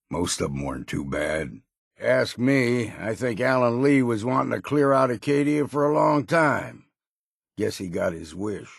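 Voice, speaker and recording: An actor playing a gruff, wary old islander sailor in a video game, with a gravelly, husky voice and salty, folksy, blunt talk. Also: male voice, lowish voice, no room sound, clean studio sound, heavily acted. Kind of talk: scripted video game voice lines.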